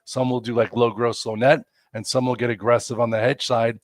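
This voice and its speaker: A man's voice: mid-range voice, level tone, brisk, no room sound, clean studio sound. Congested New man talking markets. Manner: chatty, rambling, many fillers and restarts. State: congested, losing his voice. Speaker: stock market show host. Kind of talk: casual live market commentary.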